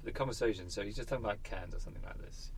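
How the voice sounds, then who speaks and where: A man's voice: on the low side, racing, a little hiss or hum. A man interviewing people in casual, unscripted conversation, often breaking off and restarting his sentences.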